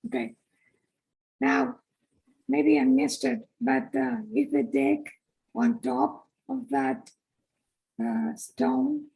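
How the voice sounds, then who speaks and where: Very hoarse, creaky high-voiced woman. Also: steady tone, slow pace, pauses often, dark tone, poor audio. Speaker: board member asking questions. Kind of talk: informal meeting questions. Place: public board meeting.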